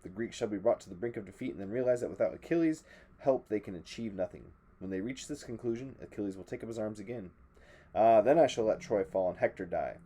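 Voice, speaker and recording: A man's voice: lowish voice, fast talker, dark tone. A man voicing the angry male king of the gods issuing stern decrees.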